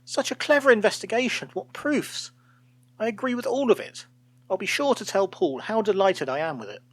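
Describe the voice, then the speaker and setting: A man's voice: high-pitched, lively intonation, normal speed, dry recording, pitched above the performer's own voice. A man voicing a flustered old woman, fretful and eager to please, in a storybook tale. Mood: gushing. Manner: fretful, then gushing. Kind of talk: storybook character voice.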